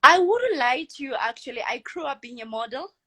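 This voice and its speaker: Young woman, kinda high voice, confident and chatty, laughs easy. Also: a little fast. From Lesotho. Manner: confident, expressive, laughs often. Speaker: guest, model and entrepreneur. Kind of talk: casual interview answers.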